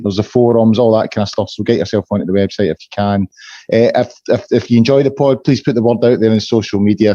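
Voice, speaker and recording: A hoarse middle-aged Scottish man hosting a casual fan podcast, chatty and full of restarts. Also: fairly low pitch, mellow, no echo, lo-fi sound. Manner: chatty, repeats fillers and restarts. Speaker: podcast host.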